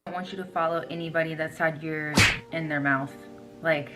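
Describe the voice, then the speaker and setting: A woman's voice: low voice, fairly even intonation, moderate pace, loud music behind the voice. A young woman giving a casual opinion monologue as a social media creator. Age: young adult.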